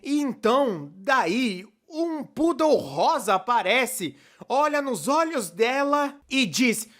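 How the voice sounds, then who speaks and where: Brazilian narrator, expressive and conversational. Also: high voice, slow. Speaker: narrator of a cartoon opinion video. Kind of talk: scripted animated commentary video narration, fan dub.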